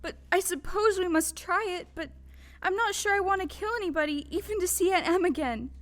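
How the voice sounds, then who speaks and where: A female performer playing a polite, earnest, gentle young farm girl in an audio play. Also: extremely high pitch, a little fast. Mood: worried. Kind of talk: audio drama acting.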